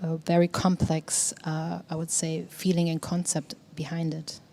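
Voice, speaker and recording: A woman sharing her thoughts in a quiet group conversation, her voice slightly low and mellow. She pauses often, and her voice tends to fade softly as she trails off. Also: completely flat delivery, gravelly. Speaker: discussion participant. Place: exhibition hall in a museum.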